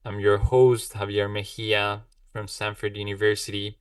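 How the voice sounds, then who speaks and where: A man hosting an interview podcast, talking warmly and repeating words as he searches for phrasing. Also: lowish voice, completely flat delivery, normal speed, smooth, dry recording, distant mic. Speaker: podcast host.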